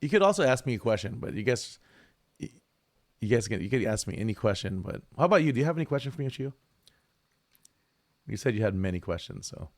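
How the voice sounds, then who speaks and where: A man's voice: lowish voice, sing-song, quick pace, pauses often. A man hosting a livestream, chatting casually and joking with a guest, his voice a bit tired from lost sleep. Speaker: streamer host.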